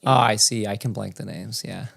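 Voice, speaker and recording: A man's voice: lowish voice, moderate pace, gravelly, a bit bright. A young man hosting a podcast, interviewing his grandmother with short, encouraging replies and easy laughs. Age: young adult. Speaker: podcast host, her grandson. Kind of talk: casual family interview.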